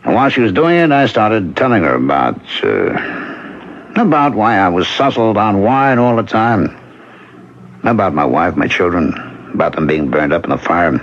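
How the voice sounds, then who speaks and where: A grieving widower turned drifter in a vintage radio play. He speaks in a low voice that swings widely in pitch, plain and gentle, with hesitant pauses. The recording is muffled. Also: sing-song. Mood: confiding, reflective. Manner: halting, hesitant, conversational. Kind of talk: old-time radio drama acting.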